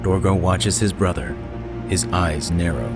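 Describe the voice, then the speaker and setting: A man's voice: sits low, a little slow. A man giving scripted dramatic narration for a sci-fi audio drama. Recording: loud music behind the voice.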